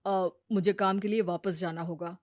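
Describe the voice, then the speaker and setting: A woman voicing a sensible, patient adult man, measured and gently advising, in a cartoon moral story. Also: lowish voice, steady tone, no room sound, studio quality, voice pushed down, natural voice.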